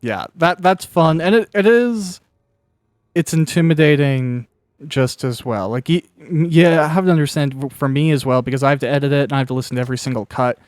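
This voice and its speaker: Clear, smooth-voiced man hosting. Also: fairly high pitch, a little fast, dry recording, clean studio sound. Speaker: host, interviewer and producer of an audio drama. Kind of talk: casual interview chat while playing a video game.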